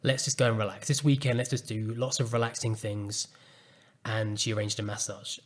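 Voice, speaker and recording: A man hosting a solo podcast, speaking calmly and earnestly as he reflects on a personal story. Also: fairly low pitch, normal speed. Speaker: podcast host and coach.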